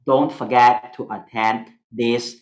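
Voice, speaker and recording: A Singaporean man whose first language is Mandarin, leading a stock class in slow, hesitant English. He fills pauses with "uh" and fragments, at a middle pitch, and the sound is echoey. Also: fairly even intonation. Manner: halting, many fillers, broken English. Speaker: stock analysis teacher. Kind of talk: online class lecture.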